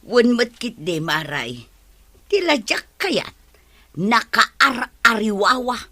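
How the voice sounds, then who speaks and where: A woman's voice: a little high, sing-song, takes it slowly, pushed and bright, full character voice. A woman with an Ilocano accent playing the aggrieved, long-suffering wife of a drunkard in a radio comedy drama. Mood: aggrieved, weary.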